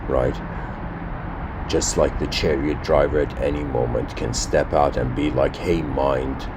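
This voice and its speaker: A man from Iran giving an unscripted spiritual lecture, slow and halting, often searching for words and clearing his throat. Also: a bass voice, steady tone. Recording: loud traffic noise outdoors.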